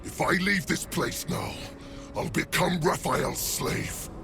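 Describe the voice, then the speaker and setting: A man's voice: a little high, lively intonation, takes it slowly. An actor playing a proud, bitter devil in a video game, theatrical and snarling, savoring his words. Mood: bitter, brooding. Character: menacing male devil bound by a cruel contract, proud and bitter. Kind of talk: video game character acting. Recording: music behind the voice.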